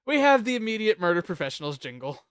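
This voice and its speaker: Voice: mid-range voice, sing-song, quick pace, clear, resonant tone, no echo. A host chatting casually while ranking songs on a panel show, jokey, profane and talkative.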